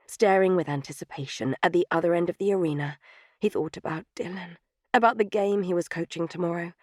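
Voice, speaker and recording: A woman's voice: on the low side, darkish tone, no room sound, clean studio sound. A woman reading scripted third-person fiction as an audiobook narrator.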